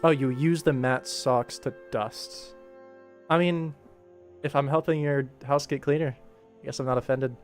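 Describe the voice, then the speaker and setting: A man's voice: mid-range voice, fairly even intonation, unhurried. A man chatting casually as a livestream host while he works, with a dry, tongue-in-cheek sense of humour. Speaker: livestream host, animation director. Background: music.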